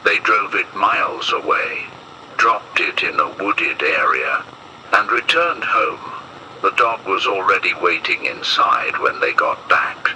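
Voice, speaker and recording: A man reading a scripted emergency bulletin as horror narration, in a solemn, measured and ominous manner. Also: low-pitched, animated, pushed and bright, a little hiss or hum, rough recording.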